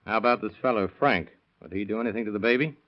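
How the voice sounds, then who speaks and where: A cool police detective acted by a man in an old radio crime drama. He fires off clipped, matter-of-fact questions at a rapid pace. The recording is dull and dated. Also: lowish voice, natural voice. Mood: cool, probing.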